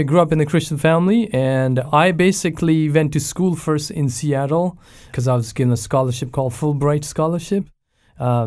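A man in his thirties from Pakistan, a pastor telling his story in a relaxed way, with many fillers and restarts. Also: mid-range voice. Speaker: pastor, guest. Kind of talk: casual conversational interview.

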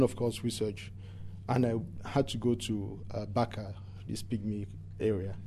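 A man from Cameroon with a Cameroonian accent gives earnest, halting interview answers, often repeating words for emphasis. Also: mid-range voice, dark tone, slight background noise. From English-speaking Cameroon. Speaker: environmental activist and interviewee.

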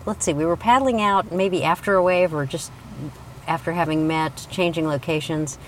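Low-voiced woman hosting a surf podcast. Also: a little hiss or hum, rough recording. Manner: earnest, laughs often, halting with uhs. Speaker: podcast host. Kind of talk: scripted narration and interviewing. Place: outdoors at a beach.

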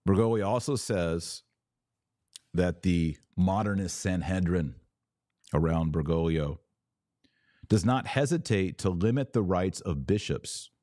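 Male podcast host, middle-aged, deep voice, dry close-mic sound, emphatic deliberate delivery. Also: animated, mellow, no room sound. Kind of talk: religious commentary podcast. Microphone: close studio microphone.

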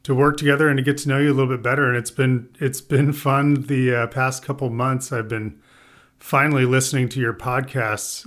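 A man who grew up in the Midwest, a winemaker talking as a podcast guest in a relaxed, rambling way. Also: mid-range voice. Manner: relaxed, rambling, with fillers and laughs. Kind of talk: casual interview chat.

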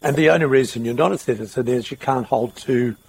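A man's voice: medium pitch, a little fast, gravelly, slight background noise. A middle-aged Australian man interviewing in a conversational, reflective way, circling around his questions.

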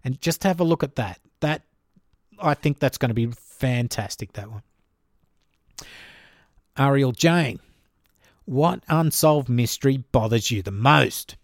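A man's voice: medium pitch, lively intonation, a little slow, long pauses, no echo, studio quality. Chatty Australian man hosting a podcast. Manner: chatty and informal, addresses listeners by name. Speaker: podcast host. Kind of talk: solo podcast reading listener questions.

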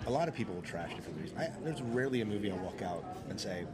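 A man's voice: on the low side, expressive, racing, over a noisy crowd. A man hosting a podcast and interviewing in a casual, conversational style.

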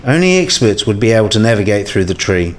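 A man's voice: fairly low pitch, very animated, fast, slight background noise. Precise, didactic professor played by a man. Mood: instructive, probing. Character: learned professor patiently explaining to a younger colleague. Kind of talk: radio play dialogue.

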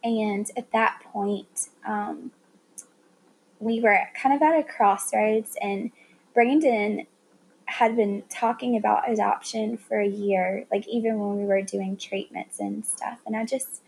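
A woman's voice: medium pitch, fairly even intonation, slow, vocal fry, a little mellow, a little hiss or hum, poor audio. A woman in her thirties with a Southern accent, telling a personal story earnestly in a conversation. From South Carolina. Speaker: guest. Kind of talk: casual conversation.

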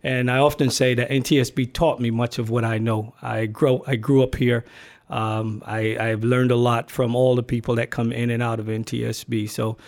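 A middle-aged man from Barbados talks through his career in an even, flat tone. He pauses often, with fillers and restarts, sounding relaxed and unscripted. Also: mid-range voice. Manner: hesitant, many fillers and restarts. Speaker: agency communications staffer, podcast guest. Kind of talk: casual podcast chat.

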